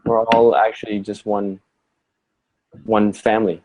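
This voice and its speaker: A man's voice: on the low side, level tone, unhurried, a little mellow, rough recording. A man from Canada whose first language is German, a podcast guest musing aloud and repeating words as he gathers his thoughts. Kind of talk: conversational podcast interview.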